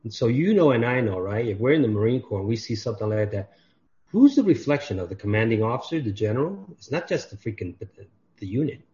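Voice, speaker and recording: Animated storytelling man, lo-fi audio. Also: mid-range voice, quick pace. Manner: animated storyteller, acts out dialogue. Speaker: podcast guest. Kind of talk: casual chat. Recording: dull lo-fi audio.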